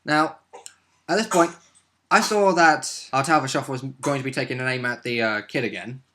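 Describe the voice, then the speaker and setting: A man's voice: medium pitch, moderate pace, sharp tone. A man who plays tabletop games, casually recapping his part of a roleplaying session in a jokey manner. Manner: jokey, given to chuckling. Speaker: tabletop game player.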